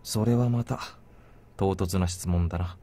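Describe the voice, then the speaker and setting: A man's voice: low voice, fairly quick, mellow, faint music behind, lightly acted. An actor playing a stoic, blunt young man in a Japanese visual novel, terse and matter-of-fact.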